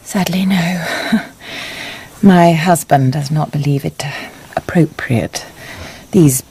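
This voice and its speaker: A woman's voice: sits low, takes it slowly, mellow, slightly rough, heavily acted. A woman playing a refined, flirtatious society wife with an arch, effusive manner in a radio drama. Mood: uneasy, polite. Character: flirtatious, genteel society wife, secretly uneasy.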